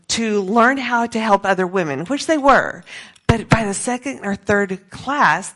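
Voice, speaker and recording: A middle-aged woman with an American accent gives an informal talk to a group as a missionary, conversational and halting, often correcting herself. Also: medium pitch, fairly bright tone. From grew up in Peru as an American missionary kid. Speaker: missionary speaker giving a presentation.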